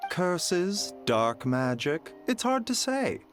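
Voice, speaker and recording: Game role: a calm, courteous doctor, gentle and measured with a lively melodic pitch. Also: male voice, faint music behind, full character voice. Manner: measured, polite, formal.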